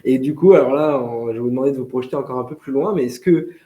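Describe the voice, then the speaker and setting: A man's voice: medium pitch, very fast, mellow. A man hosting a live interview with students, asking long questions full of fillers and restarts. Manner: many fillers, rephrases questions. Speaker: interviewer, host. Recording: echoey room.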